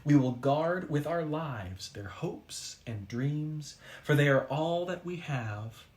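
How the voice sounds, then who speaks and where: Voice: mid-range voice, lively intonation, takes it slowly, a little mellow. Earnest, kindly storybook moon guardian, as a reader plays him. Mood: solemn.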